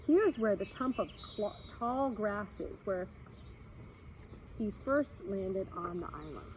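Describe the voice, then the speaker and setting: A woman reading a story aloud expressively for children, pausing to explain and speak to her young listeners. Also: medium pitch, lively intonation. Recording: slightly dull sound with faint background noise.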